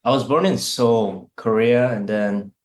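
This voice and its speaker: A man from Canada with a lowish voice answers interview questions. He is modest and a bit hesitant, stopping and restarting as he talks. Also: measured pace, a little mellow. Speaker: musician, band member.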